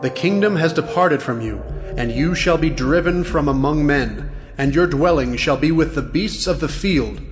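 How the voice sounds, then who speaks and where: An actor playing a stern divine voice from heaven, pronouncing judgment solemnly in a dramatized scripture production. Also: male voice, fairly high pitch, normal speed, soft background music, lightly acted.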